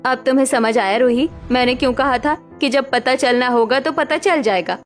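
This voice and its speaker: A woman voicing a tired, patient elder daughter-in-law who soothes and warns gently. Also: high-pitched, moderate pace, faint music behind, close to an everyday voice. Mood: weary.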